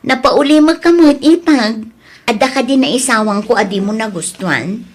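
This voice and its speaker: A woman with an Ilocano accent acting a frank, scolding woman in a family audio drama, in a husky voice. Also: on the high side, expressive, moderate pace, natural voice. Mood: plain, defensive.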